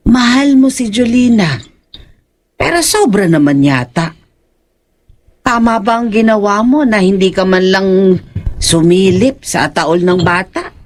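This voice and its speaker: A woman's voice: fairly high pitch, darkish tone. A woman playing a concerned, scolding aunt in a horror audio drama, speaking in a nagging, probing manner. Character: concerned, scolding aunt questioning her drinking nephew.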